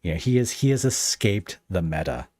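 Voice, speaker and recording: A man's voice: sits low, very animated, fairly quick, mellow, no echo. A man hosting a casual interview podcast, talking in a rambling, self-deprecating way.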